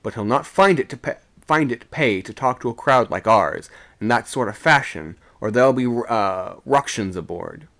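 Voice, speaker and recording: A man's voice: mid-range voice, very animated, measured pace, creaky, few pauses, heavily acted. Man acting a bold, urgent sailor, raised voice. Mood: indignant. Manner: blunt, loud, urgent.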